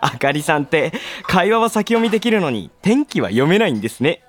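An actor playing a friendly, polite junior office worker in a radio drama, boyish and gently teasing. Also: male voice, on the high side, sing-song, fairly quick, slight background noise. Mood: puzzled, teasing. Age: young adult.

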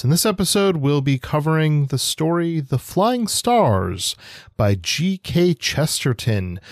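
A man co-hosting a literary podcast, speaking conversationally with somewhat halting phrasing. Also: medium pitch, darkish tone, no echo, clean studio sound. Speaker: podcast co-host.

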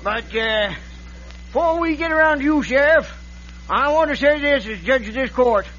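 Crotchety old Wild West judge, extremely high voice, bullying and folksy, muffled radio sound. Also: male voice, animated, normal speed, pushed and bright, exaggerated voice. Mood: blustering. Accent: American Western. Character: cantankerous old frontier judge, bossy, blustering. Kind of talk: 1940s-50s radio western acting.

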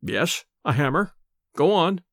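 A man voicing an angry, impatient gentleman in a storybook reading, sharp, curt and demanding. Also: a little high, sing-song, unhurried, dry recording, clean studio sound, exaggerated voice. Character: angry, impatient gentleman interrogating his servant.

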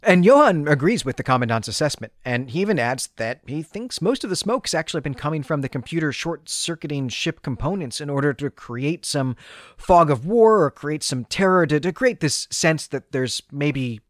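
A man's voice: on the high side, animated, moderate pace, no echo. A man hosting a podcast, recapping a story in a conversational, explanatory way with wry asides. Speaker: podcast host.

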